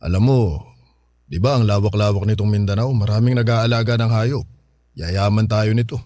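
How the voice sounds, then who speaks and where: A man's voice: lowish voice, steady tone, moderate pace, dark tone, no room sound, lightly acted. An actor playing a persuasive, evasive middle-aged father with a Filipino accent, casual and coaxing, in a radio drama. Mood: fatherly, upbeat.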